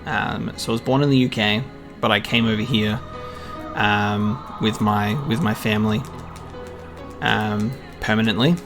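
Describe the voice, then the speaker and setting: A man's voice: lowish voice, steady tone, measured pace, vocal fry. A man in his twenties with an Australian accent chats casually to his channel's subscribers in an earnest, hesitant way. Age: 20s. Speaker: YouTube gaming channel creator. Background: music with guitar.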